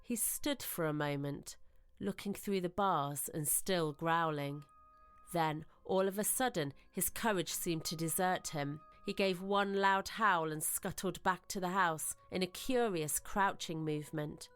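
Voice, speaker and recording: Low-pitched woman narrating a ghost story. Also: fairly bright tone, clean tone, faint music behind. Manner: measured, literary, suspenseful.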